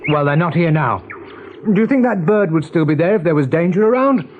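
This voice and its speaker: A man playing a sensible, protective young rabbit in a radio drama, reasoning and sceptical with a brisk manner. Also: fairly high pitch, animated, narrow, tinny audio, close to an everyday voice. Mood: dismissive. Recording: noisy old radio play recording.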